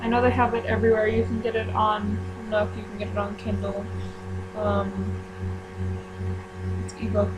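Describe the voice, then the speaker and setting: A woman chatting casually to her viewers as she runs a book club, rambling with frequent ums and quick self-corrections. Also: medium pitch, monotone, over loud music, rough recording. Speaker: YouTuber running a book club. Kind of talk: casual chat to camera.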